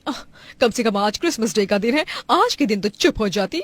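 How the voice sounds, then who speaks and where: A woman's voice: on the high side, expressive, moderate pace, no room sound, clean studio sound. A woman voicing a vain, bratty young modern daughter-in-law in a cartoon family drama, haughty and emphatic. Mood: indignant.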